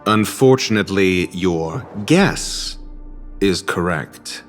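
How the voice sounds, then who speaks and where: A haughty male scholar from a video game, coolly exasperated and erudite. His delivery is slow and deliberate, with an animated pitch that swings through dry, condescending phrases. Music plays behind the formal, sardonic speech. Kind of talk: video game cutscene voice acting. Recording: game dialogue over background music. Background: background music.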